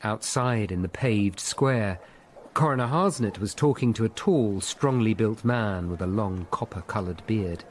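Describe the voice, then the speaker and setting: An actor playing a sober Tudor lawyer, narrating his investigation in the first person with a husky voice. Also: on the low side, measured pace, a little hiss or hum, natural voice. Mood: observant.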